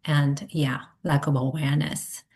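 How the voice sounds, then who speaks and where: A woman with a low voice and a Japanese accent speaks as a therapist guest in a relaxed interview. She talks haltingly, with lots of fillers and little laughs between thoughts. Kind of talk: casual interview chat.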